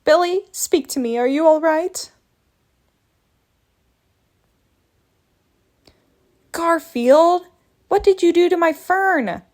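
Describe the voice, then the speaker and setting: A woman's voice: extremely high pitch, measured pace, pitched above the performer's own voice. A woman reading aloud as a flustered, fussy cat owner, scolding in an exasperated, raised voice. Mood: alarmed. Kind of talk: storybook read-aloud.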